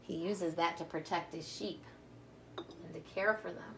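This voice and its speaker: A woman's voice: lowish voice, fairly even intonation, a little slow, noisy background. A woman storyteller reading a children's story aloud with simple, emphatic phrasing and informal asides to young listeners.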